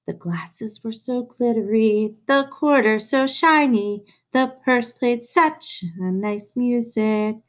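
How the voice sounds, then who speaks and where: A woman reading a children's storybook aloud in an expressive way, with dramatic pauses and playful touches. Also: a little high. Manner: animated, unhurried, dramatic pauses, playful asides.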